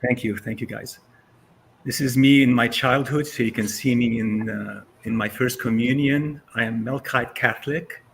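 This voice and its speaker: A Syrian-born man in his sixties tells his life story in a relaxed, rambling way, full of "uh"s and restarts. His voice sits at an ordinary middle pitch. A faint hiss of background noise runs under it. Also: moderate pace, gravelly. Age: 60s. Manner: conversational storytelling with frequent fillers. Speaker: guest speaker. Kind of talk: casual presentation over video call.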